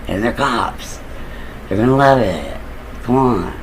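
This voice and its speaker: A man with a deep voice telling a long story in a loose, casual, rambling way, with fillers and the odd curse. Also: expressive, slow pace, a little hiss or hum, rough recording. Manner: loose and digressive, with fillers and occasional swearing.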